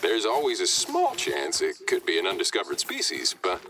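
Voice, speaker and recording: A chatty, playful warden for a video game. His deep voice swings in pitch as he explains things informally. It sounds a little distant, with faint noise. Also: wildly swooping pitch, normal speed, sharp tone, heavily acted. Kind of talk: scripted video game dialogue.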